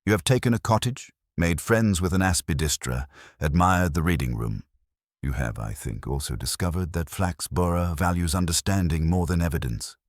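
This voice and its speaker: A man reading a courteous, quietly firm police inspector in an audiobook, polite, understated and formal. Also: low voice, normal speed, no room sound, clean studio sound. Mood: courteous, measured.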